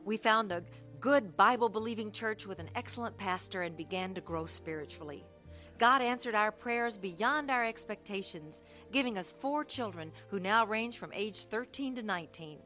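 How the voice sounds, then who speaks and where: An actress playing a steadfast middle-aged mother with an American accent, telling her testimony in an earnest, measured way for a radio drama. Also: mid-range voice, sharp tone. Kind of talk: radio drama narration and dialogue.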